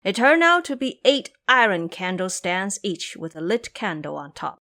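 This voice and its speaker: A woman's voice: medium pitch, very animated, moderate pace, sharp tone. Woman narrating a fantasy tale dramatically. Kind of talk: scripted dramatic storytelling narration.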